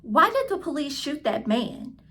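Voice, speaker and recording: A woman's voice: high voice, very animated, measured pace, pushed and bright, pitched above the performer's own voice, full character voice. A woman reading the part of a curious, earnest young schoolgirl in a storybook. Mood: puzzled. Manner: earnest, questioning.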